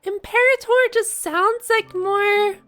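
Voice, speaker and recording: A woman's voice: very high voice, steady tone, slow, bright, forceful tone. A woman reacting casually to a game as a streamer, chatty and musing aloud. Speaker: gaming streamer reacting to a story. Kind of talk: casual live reaction commentary. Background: game music.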